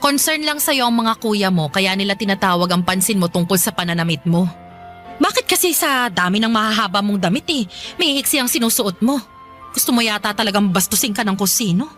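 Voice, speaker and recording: A woman's voice: mid-range voice, lively intonation, a little fast, soft background music, lightly acted. A woman playing a defensive, argumentative middle-aged mother who scolds and dismisses, in a Filipino radio drama. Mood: dismissive scolding. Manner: argumentative, sharp questioning retorts.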